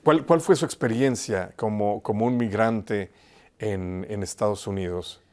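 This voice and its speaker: A man with a somewhat low voice hosts an interview. He builds his questions conversationally, hesitating and often repeating words along the way. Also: a little slow, a little mellow. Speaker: interviewer, host.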